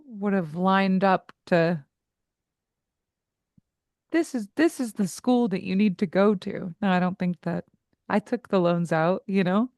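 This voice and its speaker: A woman's voice: medium pitch, dry recording. Voice coach lady in her 40s, chatty, laughs a lot, super dry mic. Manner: laughs easily, talks in quick asides. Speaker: voice actor and coach, interview guest. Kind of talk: candid conversational interview.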